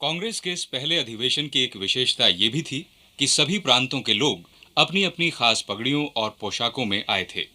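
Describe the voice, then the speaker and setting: A man narrates a scripted radio drama. His voice is sharp and forceful, sitting at a medium pitch. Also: expressive, moderate pace.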